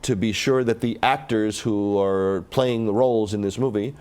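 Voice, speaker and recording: A man hosting a morning TV news segment in a studio, asking guests brisk, friendly questions. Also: fairly low pitch, fairly even intonation, a little mellow, clean tone. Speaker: TV news host. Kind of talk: morning TV interview.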